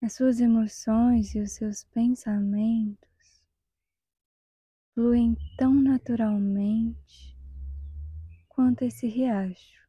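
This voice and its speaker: Soft, very mellow Brazilian woman guiding meditation. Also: fairly high pitch, no room sound. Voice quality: soft, breathy, half-whispered. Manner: calm, soothing, measured with long pauses. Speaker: guided meditation instructor. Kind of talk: guided meditation narration.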